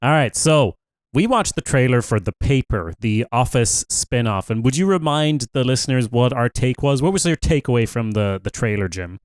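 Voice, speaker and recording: A man with a smooth, clear voice talks through a TV review in a relaxed, chatty way, pausing to think aloud with fillers. The sound is close and dry, with no room behind it. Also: mid-range voice, no room sound. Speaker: podcast co-host. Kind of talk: casual TV review chat.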